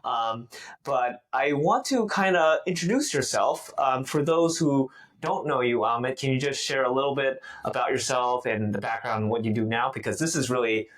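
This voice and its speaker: A man's voice: mid-range voice, normal speed. A man hosting a podcast in person at a guest's home, introducing and questioning his guest in a loose, conversational way. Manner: loose and conversational, with "you know" and "um". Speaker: podcast host. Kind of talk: friendly interview hosting.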